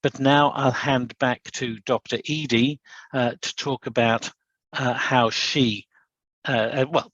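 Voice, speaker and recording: A man's voice: medium pitch, steady tone, slow, a bit bright, no echo, dull audio. A man from a business school presenting at an academic conference, hesitant with many uhs.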